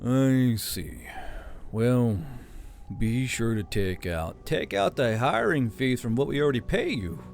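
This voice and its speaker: A blunt military commander with a gravelly voice that rises and falls freely, as a man reads him aloud. He speaks plainly and to the point. This is storybook narration. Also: medium pitch, big pitch swings, slow, a little hiss or hum.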